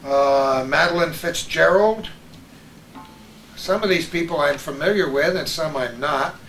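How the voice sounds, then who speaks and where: Older man reminiscing, slightly high voice, echoey room. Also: unhurried, a bit bright, soft background music. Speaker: host. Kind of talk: casual reminiscing chat about old radio. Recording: echoey room with background noise.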